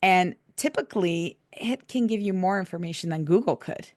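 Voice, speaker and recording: A woman hosting a podcast in casual chat, her voice raspy and hoarse from laryngitis, chatty and laughing often. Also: on the low side, animated, normal speed, a little mellow, no echo, studio quality. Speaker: podcast host.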